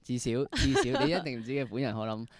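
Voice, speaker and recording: A man's voice: medium pitch, quick pace. A man with a Hong Kong Cantonese accent hosting a casual interview, prompting and rephrasing as he goes. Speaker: interview host.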